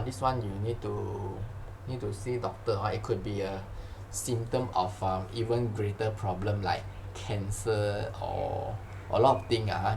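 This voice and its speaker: A man's voice: fairly low pitch, slight background noise. A man with a Malaysian accent teaching science informally, repeating phrases and tagging sentences with "lah" and "huh". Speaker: science tutor. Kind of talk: informal exam-question lesson.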